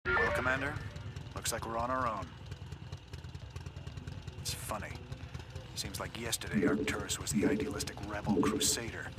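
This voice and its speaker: An actor playing a blunt, loyal rebel space captain in a video game, talking in a plainspoken, sardonic way. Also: male voice, fairly low pitch, moderate pace, long pauses, heavy background noise, natural voice. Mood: bitter. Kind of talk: dramatic video game voice acting.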